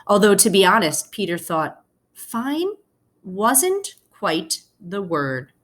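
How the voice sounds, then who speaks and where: A woman's voice: medium pitch, very animated, takes it slowly. A woman teacher speaking to students as she reads a children's picture book aloud, explaining things informally and phrasing carefully.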